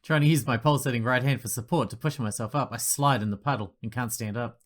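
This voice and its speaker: A man hosting a gaming video, reading a visual novel's text aloud with dramatic delivery and breaking off into quiet joking asides. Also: mid-range voice, fast talker. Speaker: gaming channel host. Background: loud game music.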